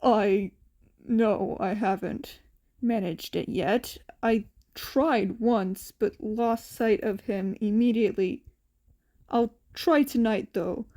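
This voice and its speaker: Woman playing a weary, terse grown man, unhurried. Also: warm tone, natural voice. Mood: hesitant, resigned. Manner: low-key, terse, halting. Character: weary, terse adult male hero.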